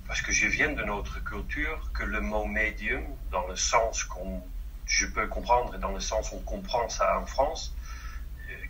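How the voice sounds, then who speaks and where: A man's voice: on the low side, sharp tone, far from the mic, slight background noise, rough recording. A man from the Netherlands, Dutch-accented and not speaking his native language, talking as a guest on a radio interview, often hesitating and searching for words. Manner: hesitant, searching for French words with many fillers. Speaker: guest medium.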